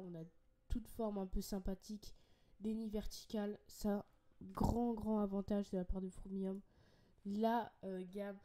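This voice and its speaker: A woman's voice: mid-range voice, dark tone. A young woman with a French accent and a bit of a cold, chatting enthusiastically as she walks through a website, full of fillers and restarts. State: has a cold, a bit congested. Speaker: ant-keeping channel host. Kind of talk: casual screen-share product walkthrough.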